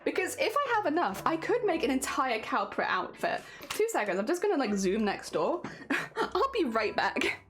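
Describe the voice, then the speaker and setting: Wheezy woman streamer on a headset, high-pitched and animated, faint music behind. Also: moderate pace. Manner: chatty, rambling, laughs often. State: wheezy, voice strained. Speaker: crafting livestreamer. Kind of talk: casual chat to live chat.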